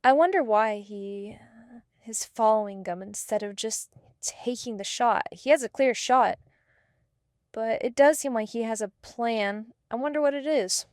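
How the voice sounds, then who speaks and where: A woman's voice: fairly high pitch, a little slow, darkish tone, smooth, dry recording, clean studio sound, lightly acted. A woman playing a composed, probing intelligence handler in an amateur radio drama, direct and businesslike. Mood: curious.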